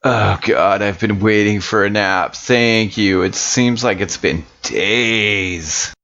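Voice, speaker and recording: A man's voice: lowish voice, slow, bright, forceful tone, highs cut off, exaggerated voice. A man playing a laid-back, slangy biker in a tabletop game. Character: laid-back scruffy male biker with a fire axe.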